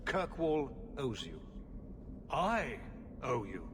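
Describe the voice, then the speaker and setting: An actor playing an anxious, exasperated middle-aged city ruler in a video game, speaking formally and theatrically. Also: male voice, medium pitch, sing-song, drawn-out words. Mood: weary, troubled. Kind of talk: dramatic video game acting. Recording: loud ambient noise behind.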